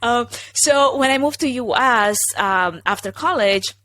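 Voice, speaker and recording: A middle-aged woman from Romania with a Romanian accent, a company founder telling her career story in an energetic, self-assured podcast interview. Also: fairly high pitch, a little slow. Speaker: guest, event production company founder.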